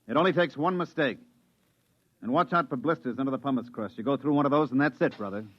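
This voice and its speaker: An actor playing a quiet, careful veteran pilot on a moon base in a 1950s radio drama, speaking in a measured, reflective way. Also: male voice, natural voice. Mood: firm. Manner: low-key, measured, reflective. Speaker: lead in a radio play. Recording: muffled vintage radio broadcast.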